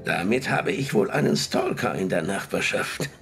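A woman's voice: extremely low pitch, lively intonation, moderate pace, creaky, faint music behind, exaggerated voice. An actress playing a prickly, sarcastic female crime novelist in a radio drama. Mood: wry. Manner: sarcastic, dismissive. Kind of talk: German radio drama acting.

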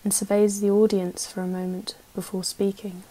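A woman acting as narrator of a radio play, reading scripted stage directions in a measured, matter-of-fact way. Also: medium pitch, flat delivery, dark tone, close to an everyday voice, low-quality recording.

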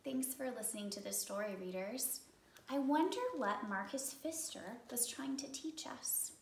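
Woman teacher doing a storytime read-aloud, fairly high voice, takes her time, echoey room. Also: creaky, slight background noise. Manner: measured, with pauses for effect. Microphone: camera a few feet away. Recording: roomy, somewhat distant microphone.

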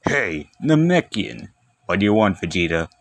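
A man voicing proud alien warriors trading taunts in an amateur anime comic dub, with deliberate delivery. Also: low voice, sing-song, background noise. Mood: proud, taunting.

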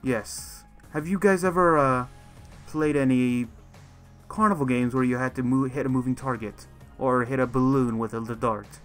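A man's voice: medium pitch, moderate pace, close to an everyday voice. A man playing a confident, wry werewolf teacher in an improvised Minecraft roleplay. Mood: instructing. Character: male werewolf mentor, confident, wry, running training games. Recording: music behind the voice.

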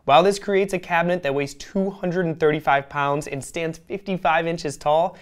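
A man's voice: a little high, moderate pace, clear, resonant tone. A man in his thirties delivering a scripted product review in a polished, emphatic presenter's manner. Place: indoor studio, seated at a table.

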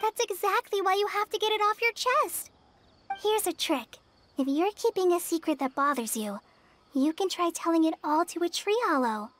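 A woman voicing a kind, earnest young trainee forest ranger in a game, speaking in a gentle, encouraging way. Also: very high voice, animated, normal speed, a little hiss or hum. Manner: gentle, encouraging, soft-spoken. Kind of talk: game cutscene dialogue. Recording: game soundtrack music behind.

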